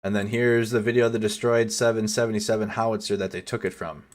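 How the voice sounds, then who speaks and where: A man's voice: lowish voice, steady tone, fast, slight background noise. Casual man commentating war footage. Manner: casual, swearing, stumbles over foreign names. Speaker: war news streamer.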